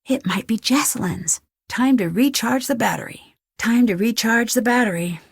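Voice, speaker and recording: A woman's voice: fairly high pitch, moderate pace, gravelly, slightly rough, no room sound, clean studio sound. A young woman playing a curious, plucky amateur detective in an adventure video game, thinking aloud to herself. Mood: musing, curious. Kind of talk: scripted adventure game voice lines.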